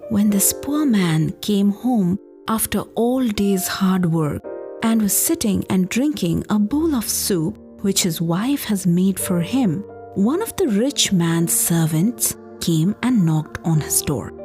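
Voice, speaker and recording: Slow, animated woman storyteller. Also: mid-range voice. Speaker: podcast host and storyteller. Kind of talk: expressive folktale storytelling narration.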